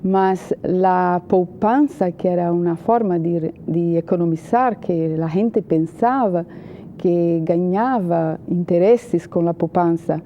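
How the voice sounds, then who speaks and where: A woman's voice: fairly low pitch, slow pace, muffled recording, a little hiss or hum. A Brazilian woman with a Brazilian Portuguese accent, a journalist and political analyst, answering interview questions in hesitant Spanish full of "eh" fillers.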